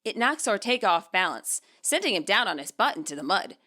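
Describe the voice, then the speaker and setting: Bright, animated woman narrating a story. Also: medium pitch, a little fast. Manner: expressive. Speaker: author and narrator.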